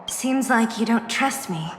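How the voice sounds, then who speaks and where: A woman's voice: on the high side, animated, a little slow, slight background noise. A woman voicing a guarded, blunt young female fighter in a video game, speaking directly and plainly. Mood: guarded, earnest. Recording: echoey hall reverb, music behind.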